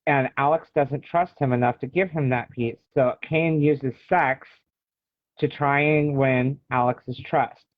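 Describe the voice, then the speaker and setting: A man's voice: mid-range voice, steady tone, a little slow, no room sound. American man on a thin, phone-like line. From United States. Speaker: guest author. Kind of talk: casual interview conversation. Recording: thin phone-like call audio.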